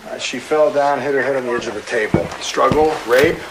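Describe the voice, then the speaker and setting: A man's voice: mid-range voice, fairly quick, noisy background, close to an everyday voice. A man playing a terse, matter-of-fact police detective in a crime audio drama, clipped and businesslike.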